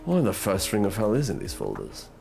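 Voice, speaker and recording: A man playing a gruff, sardonic detective in an audio roleplay drama, drawling and murmuring through his pauses. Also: fairly low pitch, huge pitch swings, a little mellow, exaggerated voice. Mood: probing. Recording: music behind the voice.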